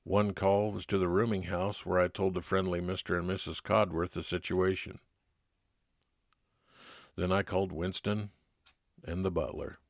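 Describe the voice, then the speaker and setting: A man playing a kindly, laconic 1950s private detective, narrating his case in old-time radio drama style with measured, plain-spoken delivery. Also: low voice, flat delivery, no room sound, clean studio sound. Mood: matter-of-fact. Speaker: narrator and lead actor.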